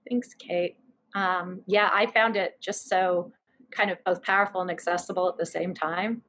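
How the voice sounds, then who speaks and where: A woman's voice: lowish voice, fairly even intonation, normal speed, fairly bright tone. A woman in her thirties facilitating a reflective group discussion over a video call. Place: living room, video call. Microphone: computer mic on video call.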